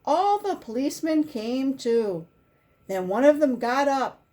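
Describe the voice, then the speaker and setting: Slow, high-voiced woman reading a storybook. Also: big pitch swings, mellow. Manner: simple, deliberate storytelling with emphasized key words. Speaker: volunteer children's book reader. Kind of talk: expressive picture-book read-aloud.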